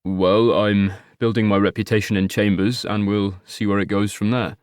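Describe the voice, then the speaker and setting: A man reading an audiobook and voicing a meek, apologetic young man, nearly thirty, who speaks quietly and with a low voice. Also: flat delivery, normal speed, smooth, no room sound, studio quality, close to an everyday voice. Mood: subdued.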